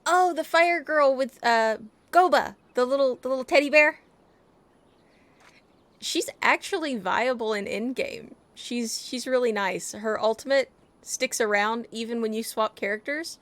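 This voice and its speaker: A woman's voice: on the high side, unhurried, fairly bright tone, no room sound. A playful, chatty woman game streamer talking casually and reacting as she plays. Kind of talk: casual chat and reactions while playing a game. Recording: game music in the background.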